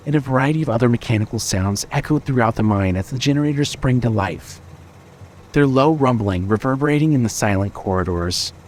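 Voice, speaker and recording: A man reading scripted story narration in a measured, expressive, dramatic way. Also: mid-range voice, slight background noise.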